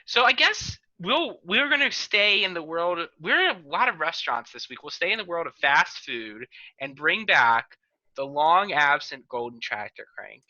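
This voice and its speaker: A man hosting a loose chat over a video call, tossing out dry, teasing remarks. His voice is very bright and forceful. The sound is dry and dull, like lo-fi call audio. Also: a little high, very animated, normal speed, no echo. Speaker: host.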